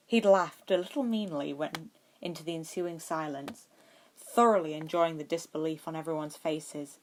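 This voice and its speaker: A woman reading a story aloud as scripted narration. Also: low voice. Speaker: fan fiction podfic reader.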